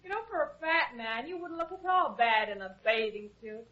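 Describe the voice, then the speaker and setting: High-voiced, brazen femme fatale. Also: female voice, animated, normal speed. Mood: taunting, flirtatious. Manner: taunting, coy. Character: brazen, flirtatious armed female villain, coolly threatening. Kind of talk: old-time radio detective drama acting. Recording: dull, lo-fi old radio recording.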